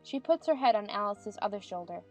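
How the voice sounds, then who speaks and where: A woman's voice: medium pitch, lively intonation, fairly quick, a little mellow, muffled recording. Woman narrator over background music. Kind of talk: scripted narration. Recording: music behind the voice.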